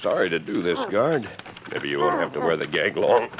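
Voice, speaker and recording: Masked western hero, very low voice, stern and in command, muffled old radio drama sound. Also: male voice, lively intonation, moderate pace, a loud crowd. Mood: calm, commanding. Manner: authoritative, clipped, stern. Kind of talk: 1940s radio acting. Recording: muffled, noisy 1940s radio recording.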